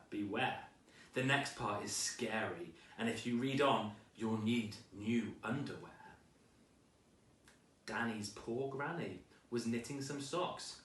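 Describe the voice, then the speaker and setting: Male children's story reader: very animated, unhurried, dramatic rhyming delivery, roomy sound. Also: mid-range voice. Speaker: teacher reading aloud. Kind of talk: expressive children's storybook reading. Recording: echoey room, distant microphone.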